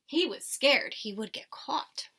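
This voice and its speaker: A woman's voice: sits high, animated, takes it slowly, sharp tone, husky. A woman reading a children's storybook aloud like a teacher, expressively, with dramatic emphasis. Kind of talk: expressive children's storybook read-aloud with short asides to listeners.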